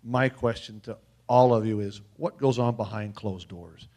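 A man's voice: lowish voice, normal speed, dark tone. A plainspoken man asking a direct question into a handheld mic in a large hall. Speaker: audience member asking a question.

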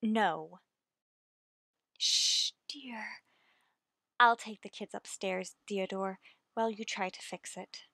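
A woman playing a gentle, concerned character in an old-time radio play, soothing and earnest. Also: medium pitch, fairly even intonation, takes it slowly, gravelly, long pauses, dry recording, studio quality, exaggerated voice. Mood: hushed, soothing.

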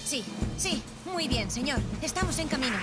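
A woman voicing a brisk, capable young female firefighter in a children's cartoon dub, over music. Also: sits high, animated. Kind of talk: animated children's cartoon dubbing.

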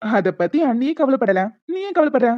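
Cartoon college bully, female performer: higher voice, cocky, mocking, slangy flirt. Dry, muffled lo-fi recording. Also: animated, brisk, dry recording, natural voice. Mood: boastful. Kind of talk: cartoon story dialogue in colloquial Tamil slang.

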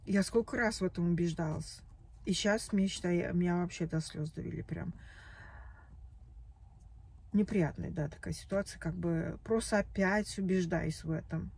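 A woman's voice: fairly low pitch, darkish tone, husky. Upset woman venting in a parked car. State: tearful after crying. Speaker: vlogger. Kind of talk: emotional confessional vlog. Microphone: phone camera at arm's length.

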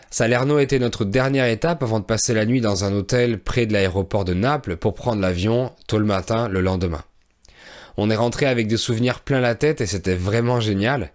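A man in his thirties from France, a teacher and podcast host, telling a travel story for language learners in a clear, measured way with soft fillers, recorded close on a studio mic in a small room. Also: mid-range voice. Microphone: studio microphone on a boom arm, close.

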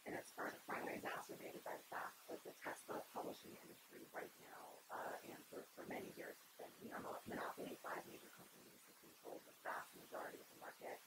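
A woman's voice: fast talker, hardly any pauses, very noisy. A young woman giving a conversational keynote talk at a podium on an auditorium stage, speaking into the podium microphone with frequent fillers and restarts.

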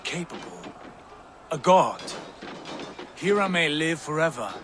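A brooding viking lord, slightly high, slow and defiant, music behind. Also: male voice, very animated, background noise. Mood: grandiose. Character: proud deluded male viking warlord, bitter. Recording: game audio with music behind.

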